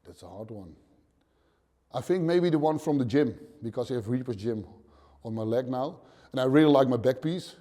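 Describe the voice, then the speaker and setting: Thirties Dutch man, gym owner, blunt halting interview talk, mellow dark voice, dim gym. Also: medium pitch, expressive. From Netherlands. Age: 30s. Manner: plain, blunt, swears casually, short halting phrases. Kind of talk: casual interview answers.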